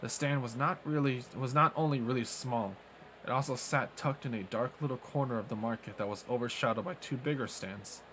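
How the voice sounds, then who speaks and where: A man streaming, reading a visual novel aloud in a steady way with small slips. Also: medium pitch, fairly quick, slight background noise.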